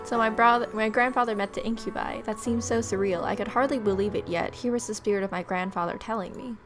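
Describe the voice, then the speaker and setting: A woman gameplay host reading a visual novel aloud as expressive narration, with casual asides. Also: mid-range voice, fast talker, over music.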